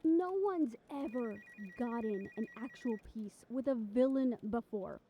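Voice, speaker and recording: Woman acting brash, higher-voiced young female reporter. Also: measured pace, narrow, tinny audio, a little hiss or hum, close to an everyday voice. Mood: defiant, pleading. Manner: sarcastic, cocky, exasperated, conversational. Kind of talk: improvised audio roleplay acting.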